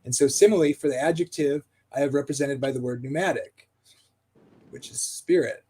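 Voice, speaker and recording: A husky-voiced man talks through a text in a relaxed discussion. He reads passages aloud, then thinks out loud with many pauses and fillers, at a middling pitch.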